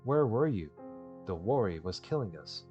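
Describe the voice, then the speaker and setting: A man's voice: on the low side, sing-song, moderate pace, dark tone. A man voicing worried, frightened young male friends in a visual novel. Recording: dull lo-fi mic with music behind.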